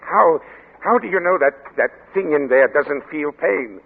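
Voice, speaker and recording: An actor playing a troubled, moralizing middle-aged doctor who speaks earnestly and hesitantly, in old radio drama style. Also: male voice, on the high side, lively intonation, unhurried, gravelly, slightly rough, phone-quality sound, heavily acted. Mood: troubled, reproachful. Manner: hesitant, repeating words, earnest. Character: troubled, moralizing male family doctor, weary and anxious. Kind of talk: 1940s radio drama acting. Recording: thin, noisy old radio recording.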